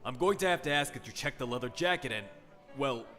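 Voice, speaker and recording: A man's voice: mid-range voice, lively intonation, fast, sharp tone, big-room sound, faint music behind. A man playing a weary, officious doorman at a party in an audio drama. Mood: weary, polite.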